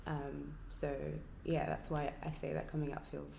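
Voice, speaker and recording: A hairstylist and artist from Nigeria, speaking quietly and reflectively in a casual interview, halting with ums. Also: female voice, low voice, monotone, vocal fry. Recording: echoey room with faint noise.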